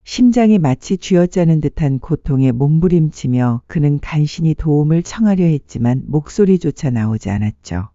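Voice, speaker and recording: A narrator reading a dramatic story in a measured, sentimental storytelling manner. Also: mid-range voice, animated, warm tone, dry recording, lo-fi sound. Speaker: audiobook narrator.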